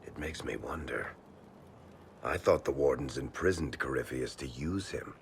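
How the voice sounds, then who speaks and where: A man's voice: rumbling low, moderate pace, slight background noise. An actor playing a wry, world-weary man in a fantasy game, telling things in a casual, frank and emphatic way. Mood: uneasy, wry. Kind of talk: video game voice acting.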